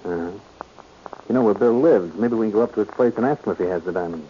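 A man's voice: fairly low pitch, fast talker, natural voice. Guy acting sly private eye, forties radio show, cool and insinuating, old noisy dull recording. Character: cool, sly male private detective hunting a diamond.

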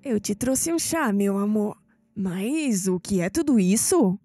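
An actress playing a wise, mystical old Brazilian grandmother in an audio drama, solemn, affectionate and measured. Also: medium pitch, animated, warm tone. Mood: tender. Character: tender, wise, mystical old grandmother revealing family secrets. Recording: music bed under the voice.

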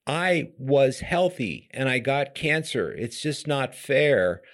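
Slow, hesitant man hosting. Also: mid-range voice, very animated. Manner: slow, hesitant, many fillers. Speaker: podcast host, physician. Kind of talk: interview hosting.

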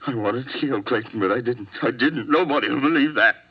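A man's voice: medium pitch, fairly quick, husky. An actor playing a hot-tempered, desperate jealous husband hunted as a murder suspect in a 1950s radio drama, volatile in his delivery. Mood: desperate pleading. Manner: volatile, swinging from menacing outbursts to broken murmurs. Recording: dull, band-limited old 1950s radio recording.

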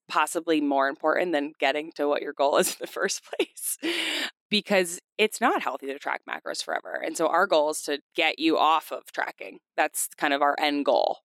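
A woman hosting a podcast in casual chat, a bit nasal from a cold, talkative and quick to laugh. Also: deep voice, lively intonation, fairly bright tone, no echo, clean studio sound. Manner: chatty, laughs often, fast bursts. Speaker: podcast host.

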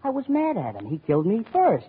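An actor playing a crazy, earnest eccentric who confides absurd claims, in 1940s radio comedy style on an old recording. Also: male voice, sits high, wildly swooping pitch, fairly quick, narrow, tinny audio, slight background noise. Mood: confiding. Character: crazy escaped lunatic posing as a nurse, eccentric.

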